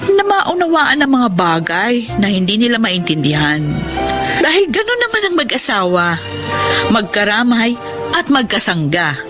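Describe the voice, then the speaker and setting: A woman's voice: a little high, very animated, moderate pace. An actress playing an outspoken, emphatic young wife and mother in a Filipino radio drama. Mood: content, reflective. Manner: emphatic, assertive. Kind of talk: Filipino radio drama acting and first-person narration. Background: music behind the voice.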